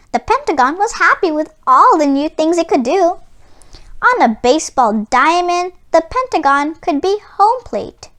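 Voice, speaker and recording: A woman reading a children's book aloud in an expressive storytelling style. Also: high-pitched.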